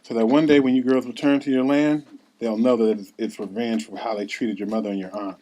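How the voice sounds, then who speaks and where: A man's voice: mid-range voice, steady tone, fairly quick, mellow, natural voice, low-quality recording. A man reading the part of a loving father who earnestly mentors his powerful daughters, at a script table read. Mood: earnest, proud.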